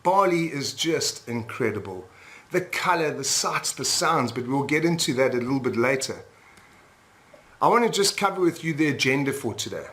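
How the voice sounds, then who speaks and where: A man's voice: mid-range voice, expressive, normal speed, a bit bright. A man from South Africa hosting a sales webinar in an upbeat tone, often checking in with "all right?". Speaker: webinar host and retreat leader.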